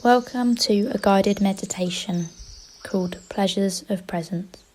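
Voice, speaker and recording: A woman leading a guided meditation in a gentle, unhurried way, pausing between short instructions. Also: mid-range voice. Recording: faint outdoor ambience with birdsong. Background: birdsong.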